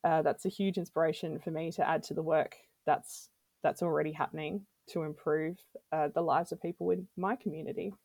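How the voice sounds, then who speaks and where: A woman's voice: sits low, fairly even intonation, fairly quick, gravelly, darkish tone, no room sound. A woman speaking as a podcast guest, answering earnestly with small restarts. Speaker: podcast guest, speech pathologist. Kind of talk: interview answers.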